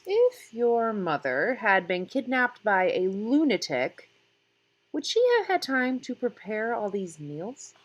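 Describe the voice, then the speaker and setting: A woman's voice: medium pitch, sing-song, takes it slowly, dull audio, voice pushed up. A woman voicing an exasperated middle-aged father in a storybook, mocking and emphatic with heavy sarcasm. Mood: sarcastic, exasperated.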